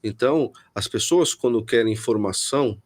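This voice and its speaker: A man from São Paulo city with a Paulistano Brazilian Portuguese accent, a guest in a political interview, thinking aloud and often restarting sentences. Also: medium pitch. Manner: thoughtful, restarts often, pauses to find words.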